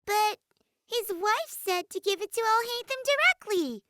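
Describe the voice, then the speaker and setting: A woman's voice: very high-pitched, expressive, measured pace, sharp tone, no echo, clean studio sound, voice pushed up, exaggerated voice. A woman voicing a small, insistent, childlike fairy companion in a fan fiction reading.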